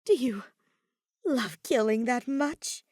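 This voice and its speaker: A young male schemer, acted out by a woman in a fan fiction audiobook. He is theatrical and mocking, with high pitch that swings widely and a dry, close sound. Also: animated, slow, darkish tone, dry recording. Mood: bitter taunting.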